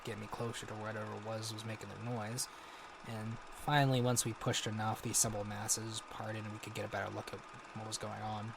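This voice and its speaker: An actor playing a haunted American war veteran, recounting grim horrors haltingly in an audio drama log. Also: male voice, lowish voice, flat delivery, creaky, background noise. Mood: unsettled, grave. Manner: halting, sighing, hesitant delivery.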